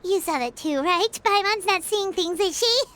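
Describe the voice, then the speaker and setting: A woman voicing a tiny, chirpy, childlike fairy companion in a video game, excitable and squeaky. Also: moderate pace. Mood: curious. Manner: speaks of herself in third person, excitable.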